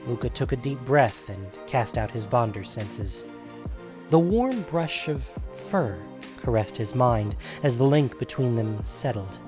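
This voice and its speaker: A man reading scripted fantasy fiction as a narrator, in a measured, dramatic storytelling manner. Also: medium pitch.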